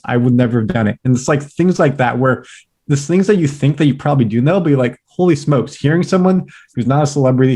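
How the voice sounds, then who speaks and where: A young man from California, a podcast guest, chatting upbeat and fast with lots of fillers. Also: medium pitch, darkish tone. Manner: upbeat, talks fast in bursts, many "like" fillers. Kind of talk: casual interview chat.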